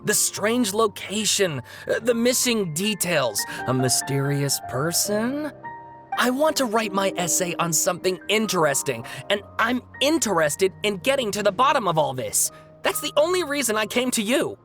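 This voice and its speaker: A fussy, earnest young student. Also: male voice, sits high, very animated, moderate pace, music in the background. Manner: fussy and earnest, hesitant with many fillers. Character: earnest young male student writing an essay.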